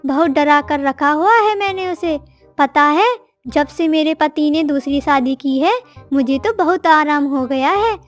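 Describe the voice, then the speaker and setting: महिला की आवाज़ में बहुत पतली आवाज़ वाली घमंडी चिड़िया. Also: female voice, sits high, unhurried, soft background music. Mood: smug, boastful. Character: boastful, idle female songbird, a smug gossiping wife. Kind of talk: children's animated story acting.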